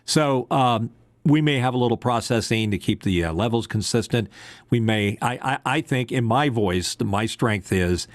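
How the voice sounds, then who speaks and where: A man's voice: normal speed, no room sound. A man co-hosting a casual talk show about radio technology, rambling and poking fun at himself. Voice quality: deep voice with strong upper mids. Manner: loose, rambling, self-deprecating joker. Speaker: co-host.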